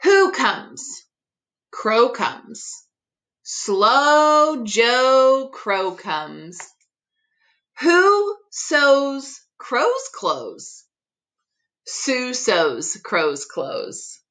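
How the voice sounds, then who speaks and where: A middle-aged woman reading a children's picture book aloud expressively, articulating tongue twisters with deliberate care. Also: sits high, sing-song, a bit bright. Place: living room at home.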